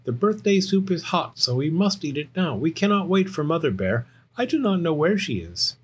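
A man's voice: a little high, very animated, normal speed, slight background noise, voice pushed up. A man voicing an eager, innocent little bear cub in a children's storybook, with childlike, earnest delivery. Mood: friendly, eager.

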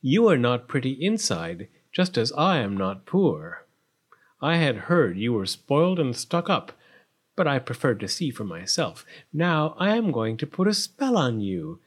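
A man voicing a poor old wise woman in a storybook, with a deliberate, solemn manner. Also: medium pitch, very animated. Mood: displeased. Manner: pleading, then solemn pronouncements.

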